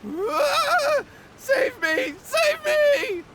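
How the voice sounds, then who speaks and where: A man's voice: extremely high pitch, very animated, extremely slow, heavy background noise, heavily acted. A man voicing an anxious, apologetic young man in a video game, earnest, timid and deferential. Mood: panicked. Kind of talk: video game character acting.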